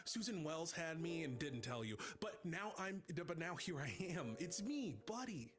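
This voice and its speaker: A man acting a naive, excitable grown man in an elf suit at a table read of a comedy script, childlike, eager and guileless. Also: on the high side, normal speed, soft background music. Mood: earnest, hopeful.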